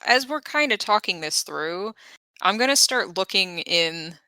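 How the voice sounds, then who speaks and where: A woman's voice: medium pitch, expressive, unhurried, bright, forceful tone, no room sound. A woman talking casually as a player in a tabletop roleplaying game.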